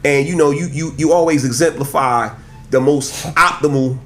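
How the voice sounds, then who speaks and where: Animated Trenton man in his forties. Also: on the high side, normal speed, faint music behind. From Trenton, New Jersey. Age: 40s. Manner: animated, street slang, frequent "right?" and "man". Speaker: insurance agent guest. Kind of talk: casual podcast chat.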